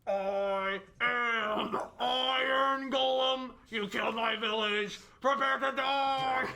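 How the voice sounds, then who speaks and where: A man voicing a gargantuan, furious iron golem in a story, with drawn-out, booming, strained threats. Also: fairly even intonation, creaky, bright, forceful tone, full character voice. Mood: menacing, furious.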